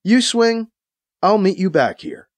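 A man's voice: high-pitched, lively intonation, slow, no room sound, voice pushed up. A man voicing a sly, insistent woman who is teasing, sarcastic and easily exasperated, in a science fiction audiobook.

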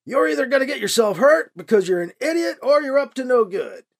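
A man voicing a gruff older male landowner with a shotgun, who shouts and sounds stern and suspicious. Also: high-pitched, sing-song, fairly quick, pitched above the performer's own voice, heavily acted. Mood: stern shouting. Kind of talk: audio drama reading.

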